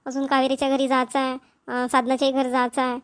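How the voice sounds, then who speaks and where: A very high-voiced cartoon village woman played by an actress chatters quickly in a Vidarbha Marathi accent. She sounds homely, practical and matter-of-fact. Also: monotone, clear, resonant tone, lightly acted. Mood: chatty, practical. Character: chatty, practical village women neighbours planning an engagement. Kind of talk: amateur cartoon dialogue.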